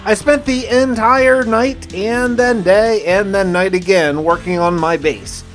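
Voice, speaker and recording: Higher-voiced man narrating a game diary. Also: measured pace. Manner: conversational, storytelling. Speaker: gaming video host and player. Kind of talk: first-person roleplay narration of a survival game. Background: music.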